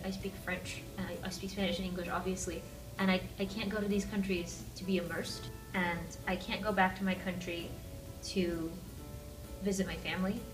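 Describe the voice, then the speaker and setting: A young woman from Peru, a college student, giving candid conversational interview answers. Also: fairly low pitch. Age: young adult. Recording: roomy, distant mic, music underneath.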